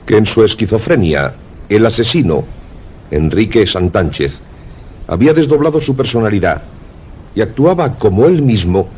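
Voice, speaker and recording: Actor as low-voiced somber doctor. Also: male voice, lively intonation, a little mellow, slight background noise, close to an everyday voice. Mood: grave, explanatory. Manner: measured, formal, explanatory. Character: somber male doctor recounting a grim case. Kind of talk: radio drama acting.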